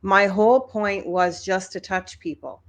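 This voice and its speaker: A woman's voice: medium pitch, steady tone, unhurried. A woman in her fifties, a podcast guest talking frankly and casually, laughing often. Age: 50s. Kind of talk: casual interview chat.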